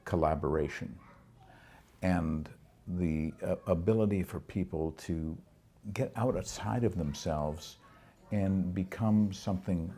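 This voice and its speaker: Deep husky male interviewer. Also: takes it slowly, warm tone. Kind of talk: interview questions.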